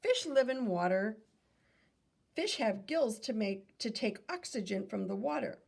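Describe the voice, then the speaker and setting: Lady reading a kids book out loud like a teacher, super gravelly fry, pitch goes up and down a lot. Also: lively intonation, a little slow. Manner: instructive, posing questions to young listeners. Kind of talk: children's read-aloud with questions and asides.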